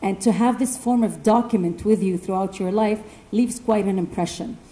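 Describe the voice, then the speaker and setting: A woman from Lebanon speaking earnestly and in a measured way as a panelist, sharing her own story in a university classroom. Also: medium pitch, mellow. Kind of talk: personal testimony talk.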